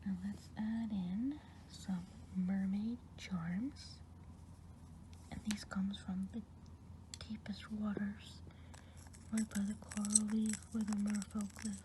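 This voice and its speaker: A woman plays a kindly good witch from a storybook wood, tending to you in a soft, breathy whisper. She speaks slowly and unhurriedly, her voice sitting at an ordinary middle pitch. A faint hiss of noise lingers under her words. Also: little pitch movement, close to an everyday voice. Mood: soothing. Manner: soft unvoiced whisper, slow and soothing. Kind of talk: ASMR roleplay.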